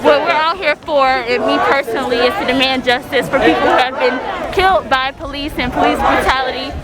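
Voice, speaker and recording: A woman's voice: high-pitched, brisk. A woman protester giving an earnest, emphatic, unscripted interview answer. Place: outdoors at a street protest. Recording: busy outdoor crowd and street noise.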